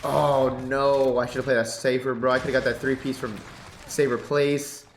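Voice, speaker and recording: A man's voice: medium pitch, normal speed, reverberant, slight background noise. A young man with a congested voice, casually talking through his game settings and commentating gameplay on a headset mic. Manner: laid-back, excitable bursts during play. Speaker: competitive gaming YouTuber. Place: home gaming setup. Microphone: headset with boom mic.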